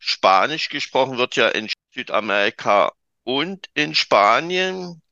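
A man hosting an educational podcast, introducing and questioning his guest in a formal, measured way. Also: medium pitch, big pitch swings, creaky, bright, forceful tone, no echo, highs cut off. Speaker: podcast host, exchange advisor. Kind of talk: podcast interview.

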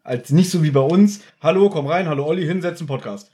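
A man's voice: fairly high pitch, a little fast, dark tone. A man, hosting a podcast in a casual, chatty style as he recaps and discusses a story. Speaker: podcast host leading an episode discussion. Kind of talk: casual chat, recapping an audio drama episode.

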